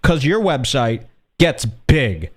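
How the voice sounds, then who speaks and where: A man's voice: mid-range voice, very animated, slow. A man playing an aggrieved, self-important businessman making an indignant, exasperated customer complaint on a prank call.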